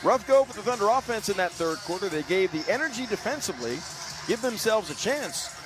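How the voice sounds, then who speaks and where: A man working as a radio basketball announcer, giving live play-by-play in brisk, clipped phrases that lift on big plays. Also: high voice, very animated, over music, poor audio. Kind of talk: live sports play-by-play commentary.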